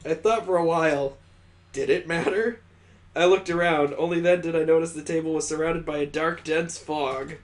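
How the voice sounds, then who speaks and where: A man reads a visual novel aloud as a gaming host. His voice sits a little high. He narrates expressively and slips into casual commentary between lines. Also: fairly even intonation, normal speed.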